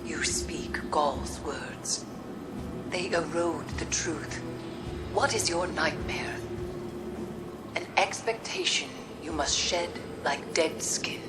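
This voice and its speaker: Low, slow, solemn mystic witch. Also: loud music behind the voice. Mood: firm, counseling. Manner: deliberate, solemn, formal. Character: grave mystic witch, somber, cryptic, steadfast counselor. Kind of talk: scripted dramatic video game dialogue.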